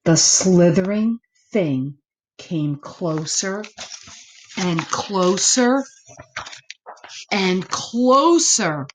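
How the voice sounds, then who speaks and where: A woman narrating a children's story expressively, with dramatic, deliberate pauses. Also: lowish voice, animated, slight background noise, low-quality recording. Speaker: storybook reader.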